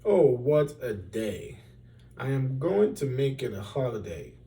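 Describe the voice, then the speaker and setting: A man's voice: medium pitch, big pitch swings, measured pace, dark tone, far from the mic. A man voicing a bossy, gleeful, short-tempered king from a storybook in a commanding manner. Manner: commanding, snapping, boastful.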